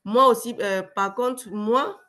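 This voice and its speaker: A woman's voice: a little high, slow pace, pushed and bright. A young adult woman with a cold, speaking hesitantly as a guest on a video call webinar, with many fillers and restarts. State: sick with a cold, voice affected. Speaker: webinar guest, former client. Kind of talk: casual testimonial on a video call.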